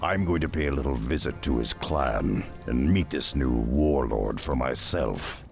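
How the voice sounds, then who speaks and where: A man voicing a gruff, stoic half-orc tracker in a fantasy game, terse and commanding with a gravelly growl. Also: extremely low pitch, unhurried, heavily acted. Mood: resolute. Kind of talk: fantasy game cinematic acting. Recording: music behind the voice.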